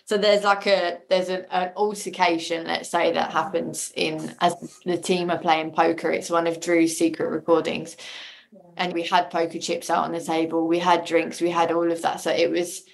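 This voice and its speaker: A woman with a slightly low-set voice talks in a casual interview. She is enthusiastic and effusive, speaking informally as a creative guest who loves the work. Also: level tone, normal speed, vocal fry, rough recording. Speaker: podcast guest, audio drama maker.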